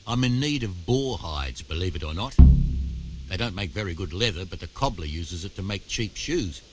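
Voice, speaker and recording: A medieval game tanner with a low, lively-pitched voice. He is a wry, practical tradesman who talks conspiratorially over clear background music. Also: moderate pace. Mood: wry, chatty. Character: wry, practical male medieval tanner offering shady hunting jobs. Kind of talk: video game character dialogue.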